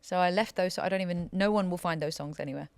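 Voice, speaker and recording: Woman, podcast guest. Low, chatty storyteller, dry close recording. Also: quick pace, no room sound. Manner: chatty, laughing, animated storytelling. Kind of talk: casual interview chat.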